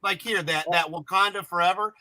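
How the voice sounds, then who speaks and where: A man's voice: high voice, fairly even intonation, a little fast, a crowd in the background. A man hosting a casual group chat, giving blunt opinions with crude humor.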